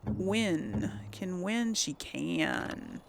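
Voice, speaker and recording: A woman's voice: lowish voice, animated, extremely slow, vocal fry, slight background noise. A woman hosting casual video game commentary, thinking aloud as she plays. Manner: thinks aloud while playing. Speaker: gaming host.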